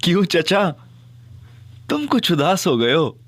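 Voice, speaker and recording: A man's voice: high-pitched, big pitch swings, slow pace, a bit bright, no room sound, studio quality, faint music behind, exaggerated voice. An actor playing a cocky young male detective in an audio drama, teasing and theatrical, also narrating in first person. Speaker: Ali Imran.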